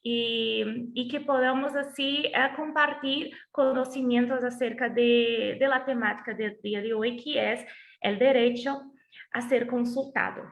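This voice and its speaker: Brazilian woman, Portuguese-accented Spanish, slightly high voice, halting, on a video call. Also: steady tone, creaky. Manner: halting, restarts words while searching for Spanish. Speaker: guest speaker, lawyer. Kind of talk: online lecture introduction.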